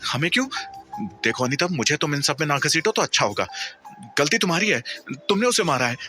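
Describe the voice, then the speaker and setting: A man's voice: a little high, animated, a little fast, bright, forceful tone, soft background music, voice pushed up. A man voicing an argumentative woman in an audio story, defensive and insistent as she shifts blame. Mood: defensive, arguing.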